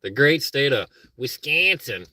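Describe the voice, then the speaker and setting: A man's voice: medium pitch, sing-song, a little slow, bright, forceful tone. A middle-aged man from Minnesota with a Minnesota accent, chatting casually and rambling to viewers from his car while car camping. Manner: rambling, self-deprecating, talks to viewers and his dog. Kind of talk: casual live chat.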